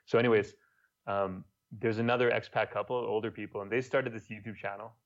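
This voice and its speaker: Young French-Quebec man, low voice, relaxed storyteller, muffled recording. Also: brisk. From Montreal, Canada. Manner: laid-back storytelling, swears casually. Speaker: guest, YouTuber. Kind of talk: casual podcast chat.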